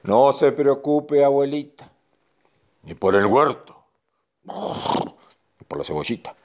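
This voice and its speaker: A man voicing a huge, gruff bull who blusters slowly but is cowardly underneath, in a children's story. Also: mid-range voice, very animated, voice pushed up, full character voice. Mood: reassuring.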